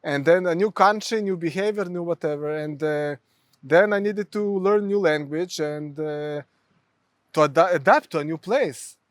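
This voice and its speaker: A man from Ukraine with a Ukrainian accent, a therapist telling stories from his life as a podcast guest, halting with many fillers. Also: a little high, no room sound. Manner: halting, many fillers, non-native phrasing. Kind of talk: storytelling in conversation.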